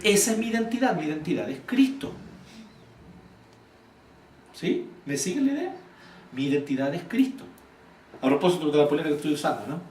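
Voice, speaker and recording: Lively high-voiced Chilean man teaching. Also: brisk, pauses often, a little hiss or hum. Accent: Chilean Spanish. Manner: animated, questions the audience, blunt. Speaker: church speaker teaching volunteers. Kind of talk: informal church teaching talk with audience questions. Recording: echoey room, voice from far off.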